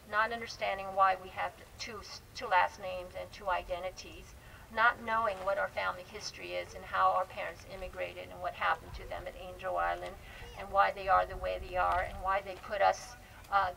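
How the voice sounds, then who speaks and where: A woman speaking unscripted on a discussion panel, halting as she searches for her words. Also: medium pitch, creaky, sharp tone. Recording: noisy live event recording.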